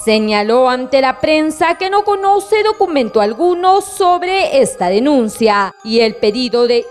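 Slow high-voiced woman reading news. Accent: Peruvian Spanish. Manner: measured, formal delivery. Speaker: news reporter. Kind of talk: scripted news narration. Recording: voice-over with faint music bed.

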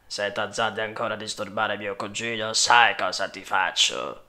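A man voicing a tough, bossy little mouse in a cartoon, slow and threatening. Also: lowish voice, gravelly, sharp tone, heavily acted. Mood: menacing. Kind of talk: cartoon dub.

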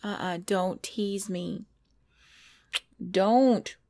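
A woman playing a cute, easily scared girlfriend in an intimate ASMR roleplay, pleading and whiny, often hushed. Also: lowish voice, vocal fry, full character voice. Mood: flustered, defensive. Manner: pleading, whiny, dropping into hushed self-talk. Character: cute, jumpy girlfriend frightened by scary movies. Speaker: roleplay audio performer.

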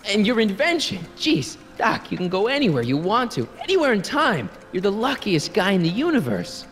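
High-voiced, exasperated teenage boy. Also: big pitch swings, normal speed, a bit bright, heavily acted. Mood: earnest, reassuring. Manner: casual, pleading, incredulous. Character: earnest, exasperated teenage boy time traveler. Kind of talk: animated video game acting. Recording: long hall reverb, faint music.

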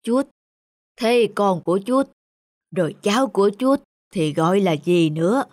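A woman's voice: mid-range voice, animated, darkish tone, no room sound, clean studio sound. Woman voicing a grave, wise old father. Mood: puzzled, probing. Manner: measured, questioning, moralizing. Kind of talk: animated folk tale acting.

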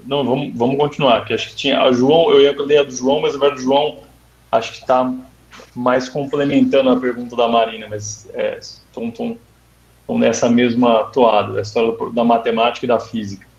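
A man's voice: mid-range voice, steady tone, brisk, a little mellow. A man moderating a video call, giving brief, loose interjections to steer the questions. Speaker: course host and moderator. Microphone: laptop mic on a video call. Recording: roomy, dull webcam audio.

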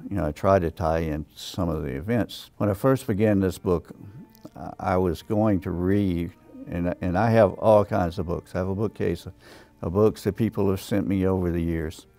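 An older man, a guest in a studio interview, answering in a plain, matter-of-fact, unhurried way. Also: deep voice, warm tone, no room sound. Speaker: interview guest, former pathologist. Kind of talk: sit-down interview. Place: studio set with wood-panel wall.

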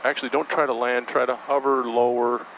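Male flight instructor, gravelly voice, calm coaxing commands, muffled headset intercom, faint engine hum behind. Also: mid-range voice, fairly quick. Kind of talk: in-flight coaching. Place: helicopter cockpit in flight. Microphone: aviation headset intercom.

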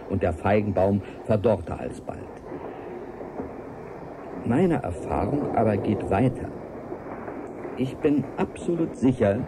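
Clear vehicle-like noise runs behind a male actor as a solemn, visionary scientist in a radio play. His voice is low, and he confides in earnest, measured, formal sentences. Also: natural voice. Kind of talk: German radio play monologue.

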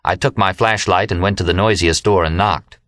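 A man with a low-pitched American voice narrates a novel in the first person. The recording is dry and close, with very little room sound. He reads from a script, as an audiobook narrator does. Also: steady tone, normal speed, fairly bright tone, no room sound.

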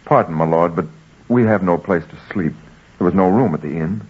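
An actor playing a humble, earnest carpenter in a 1940s radio drama, speaking in a measured, deferential way. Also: male voice, deep voice. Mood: humble, pleading. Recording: dull, husky-sounding 1940s radio recording.

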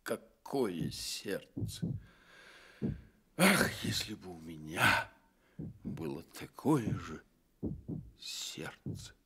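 An actor playing a tender, sorrowful iron woodcutter in a radio play, with a husky, creaky voice. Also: male voice, sits low, sing-song, very slow, pauses often, bright, forceful tone, full character voice. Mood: yearning. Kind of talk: Soviet radio play acting.

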